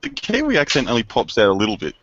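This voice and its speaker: A man chipping in on a video call with quick rambling asides. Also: medium pitch, wildly swooping pitch, crowd noise. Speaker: call participant. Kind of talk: casual video call chat. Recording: noisy muffled call line.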